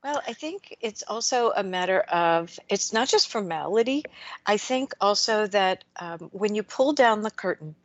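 A woman hosting a radio interview, speaking in a measured, reflective way and asking long framing questions. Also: mid-range voice, animated, no echo, lo-fi sound. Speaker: radio show host.

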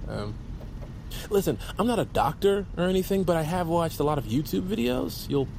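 A man's voice: fairly high pitch, lively intonation, moderate pace, vocal fry, darkish tone, slight background noise. An actor playing an anxious, earnest American man of thirty, rambling and apologetic in naturalistic audio drama dialogue. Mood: nervous, apologetic. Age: 30s. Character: anxious, restless thirty-year-old slacker, earnest and apologetic.

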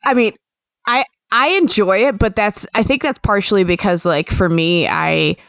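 A woman's voice: mid-range voice, normal speed, vocal fry, a bit bright, dry recording. A woman hosting a podcast, chatting in an easy, conversational way. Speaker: podcast host. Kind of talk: casual chat.

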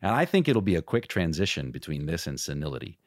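A voice actor from Toronto with an American accent, Greek his first language, chatting excitedly in an interview. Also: deep voice, quick pace, no echo, studio quality. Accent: General American. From Toronto, Canada. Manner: animated, excitable, with restarts and impressions. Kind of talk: casual interview chat.

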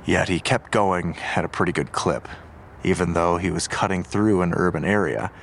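A man's voice: low-pitched, moderate pace, a bit bright, slight background noise. An actor playing a seasoned, world-weary male homicide detective in an audio drama, asking terse, probing questions. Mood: grave, businesslike.

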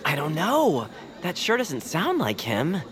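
A woman's voice: deep voice, wildly swooping pitch, measured pace, crowd noise, heavily acted. A woman voicing an earnest, supportive young friend in a family audio drama, with a slight stammering manner. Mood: encouraging. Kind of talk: acted family audio fiction.

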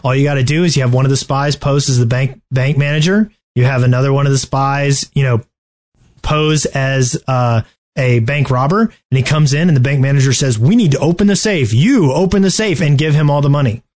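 A man with an average-pitched voice banters as a podcast co-host. His delivery is casual, playful and tongue-in-cheek. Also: fairly quick, a little mellow.

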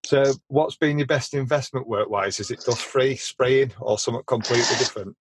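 A man hosting a casual podcast, chatty and quick to share opinions, often stumbling and repeating words as he starts a sentence. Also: medium pitch, background noise. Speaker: podcast host. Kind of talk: casual chat.

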